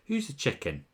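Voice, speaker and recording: A man with a Welsh accent, mixed with Scottish and northern English, hosting a casual whisky-tasting live stream from home. He chats upbeat and loose with viewers, with lots of ums and restarts. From Wales.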